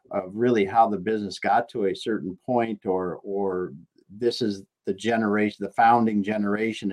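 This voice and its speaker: A man hosting a casual interview, speaking haltingly and repeating words as he thinks. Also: on the low side, darkish tone, poor audio. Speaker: podcast host.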